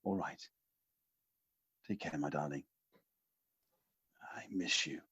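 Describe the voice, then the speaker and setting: An actor playing an affectionate, gently teasing husband on the telephone in an audio play, reassuring in manner. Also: male voice, very deep voice, fairly even intonation, takes it slowly, slightly rough, full character voice. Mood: tender. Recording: dull lo-fi phone call sound.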